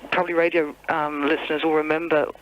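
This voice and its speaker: Low-pitched woman on a muffled phone line. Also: level tone, quick pace. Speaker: TV director, radio interview guest. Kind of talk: spontaneous reminiscing. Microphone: telephone. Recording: muffled telephone line on radio.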